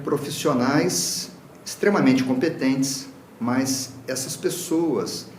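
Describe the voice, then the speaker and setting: Brazilian male lecturer, earnest, mid-pitched, echoey hall with faint background noise. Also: a little mellow. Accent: Brazilian Portuguese. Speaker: lecturer, Reiki teacher. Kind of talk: public talk. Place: lecture room.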